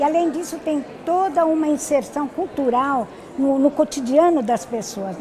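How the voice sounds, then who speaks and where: A Brazilian woman academic answering interview questions in an explanatory, halting way, often adding tag questions. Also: high voice, rough recording. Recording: busy crowd chatter behind. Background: crowd noise.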